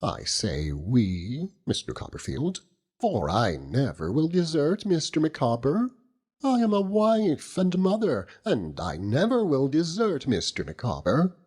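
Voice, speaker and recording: A man's voice: a little high, big pitch swings, a little slow, darkish tone, husky, pitched above the performer's own voice, full character voice. A man voicing an earnest, self-important genteel wife and mother in an audiobook, lecturing in a pompous, declamatory way. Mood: earnest, devoted. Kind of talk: audiobook character voice.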